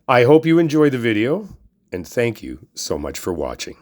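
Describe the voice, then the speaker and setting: A man's voice: mid-range voice, big pitch swings, moderate pace. A man speaking as host in a scripted intro to his video.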